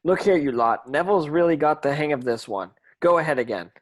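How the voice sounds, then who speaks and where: A man's voice: on the high side, expressive, brisk, close to an everyday voice, poor audio. A man reading the part of an earnest teenage boy wizard instructing his schoolmates in an amateur script read-through. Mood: encouraging. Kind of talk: amateur table read of a screenplay.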